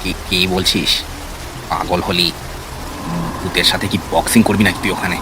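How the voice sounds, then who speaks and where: A man's voice: sits low, steady tone, moderate pace, noisy background, natural voice. A man voicing a blunt young village man who challenges his friends in a horror cartoon. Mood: scared, scolding.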